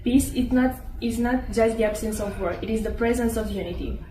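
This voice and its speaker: A woman's voice: fairly high pitch, moderate pace, warm tone. A woman delivering a short prepared statement earnestly. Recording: echoey room, distant mic, faint noise.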